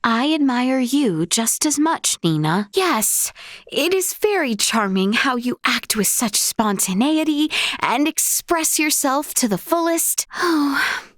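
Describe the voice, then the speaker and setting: A woman voicing a gentle, earnest young royal woman in a video game, speaking in formal, old-fashioned courtly language with hesitant politeness. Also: sits high, animated, slow, no room sound, studio quality, full character voice. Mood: admiring. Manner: formal, archaic courtly diction. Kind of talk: scripted video game character dialogue.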